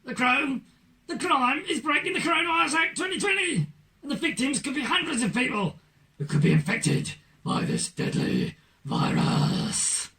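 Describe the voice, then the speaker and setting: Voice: high-pitched, huge pitch swings, normal speed, vocal fry, pushed and bright, far from the mic, exaggerated voice. A performer playing an officious, insistent police officer in a scripted puppet show.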